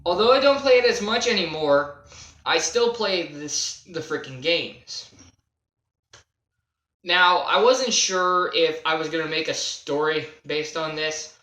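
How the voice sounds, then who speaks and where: A young man telling a casual, unscripted gaming story in a halting, self-deprecating way, stressing key words as he goes. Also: on the high side, pushed and bright. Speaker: video creator telling a personal gaming story. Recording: roomy, echoey home recording.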